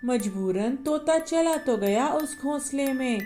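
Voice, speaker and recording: A woman narrating a bedtime story for children in a slow, gentle way. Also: high voice, lively intonation, soft background music.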